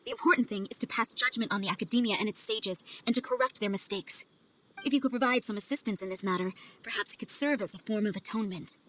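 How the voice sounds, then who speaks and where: Brisk, composed woman traveler, voiced by an actress. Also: medium pitch, fairly bright tone, close to an everyday voice, low-quality recording. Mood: firm, persuasive. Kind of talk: dramatic video game dialogue. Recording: game audio over ambient noise.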